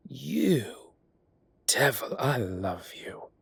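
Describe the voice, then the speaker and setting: A man playing an ancient, teasing godlike being with a soft romantic streak, in a theatrical, drawling and indulgent manner for a roleplay audio drama. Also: medium pitch, very animated, very slow, gravelly, husky, no room sound, heavily acted. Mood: delighted, affectionate.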